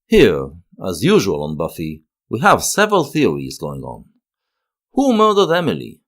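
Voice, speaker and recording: A man delivers scripted, analytical narration. His voice sits fairly low, and his intonation swings widely as he goes. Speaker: video essay narrator.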